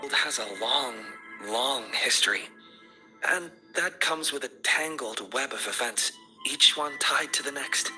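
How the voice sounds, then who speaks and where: An actor playing a thoughtful, courteous academy researcher in a video game, explaining things in an articulate, deliberate way. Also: male voice, mid-range voice, music in the background, lightly acted, low-quality recording. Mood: thoughtful, apologetic. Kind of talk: scripted video game exposition.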